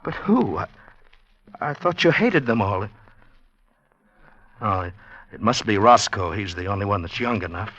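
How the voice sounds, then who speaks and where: A man's voice: lowish voice, lively intonation, measured pace, long pauses, fairly bright tone. An actor playing a lovesick, anxious, earnest man with a stammering, melodramatic delivery in an old-time radio drama. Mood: jealous disbelief. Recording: dull vintage radio recording.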